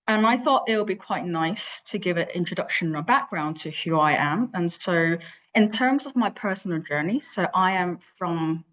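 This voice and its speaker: Woman from Hong Kong, thirties, deep voice, hesitant conference speaker with many fillers. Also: lively intonation, normal speed, a bit bright, low-quality recording. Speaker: invited speaker, lab leader. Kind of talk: virtual conference talk.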